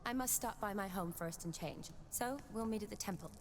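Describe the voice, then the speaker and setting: An actress playing an amused, gently teasing young woman in a video game, polite and light in manner. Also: mid-range voice, brisk, lightly acted. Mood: friendly, playful. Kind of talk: video game character acting. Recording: long echoing reverb, faint noise.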